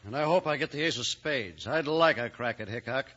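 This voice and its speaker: An actor playing a boastful outlaw gunfighter with a folksy drawl in an old western radio drama. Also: male voice, mid-range voice, animated, brisk, pushed and bright. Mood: cocky. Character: cocky western gunslinger outlaw hungry for reputation. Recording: dull vintage radio recording.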